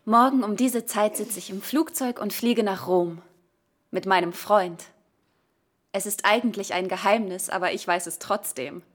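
An actress playing a wistful young woman, speaking a measured, confiding monologue in a radio play. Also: mid-range voice, lightly acted. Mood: wistful, composed. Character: wistful young woman awaiting proposal, calmly foretelling her death.

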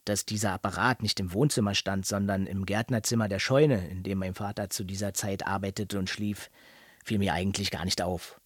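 Grown son remembering a wartime childhood, sober and measured, dry close radio play sound. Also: male voice, sits low, steady tone, breathy edge, no room sound. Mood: reflective. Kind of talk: radio play memoir narration.

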